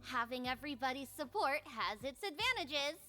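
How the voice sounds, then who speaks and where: A woman voicing a scheming, bratty middle school girl in a visual novel, with a sharp, snarky delivery. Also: sits high, expressive, normal speed, over music. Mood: smug, scheming. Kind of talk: animated visual novel character acting.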